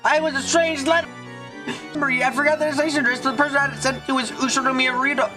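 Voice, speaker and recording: A man voicing a troubled young woman in a visual novel fan dub, speaking in a halting, earnest way. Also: very high voice, fairly even intonation, over music, voice pushed up. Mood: troubled, remembering. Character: troubled young woman recalling her lost family.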